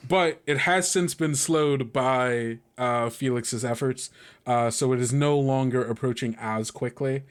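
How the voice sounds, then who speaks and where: A man's voice: mid-range voice, measured pace, clean tone. A man acting as game master, mixing improvised narration with informal table talk and talking the rules through as he goes.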